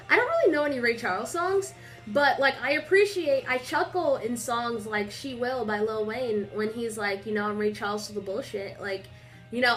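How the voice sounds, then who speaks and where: Young woman vlogger, congested, chatty and rambling, a touch high-pitched. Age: young adult. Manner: rambling, filler-heavy, animated storytelling. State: stuffy nose. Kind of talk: casual chatty vlog. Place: small indoor room.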